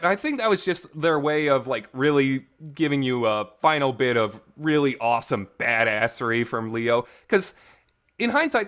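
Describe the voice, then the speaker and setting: A man's voice: mid-range voice, fairly bright tone. Enthusiastic man rambling through a fan review. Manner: enthusiastic, emphatic, informal. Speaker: host of a visual novel talk show. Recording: reverberant voice recording.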